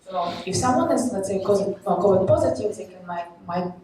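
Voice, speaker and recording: A woman's voice: medium pitch, expressive, brisk, warm tone, a little hiss or hum. A young woman from Kazakhstan giving a conference talk, explaining with many fillers, recorded from a distance in an echoey hall. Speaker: presenter.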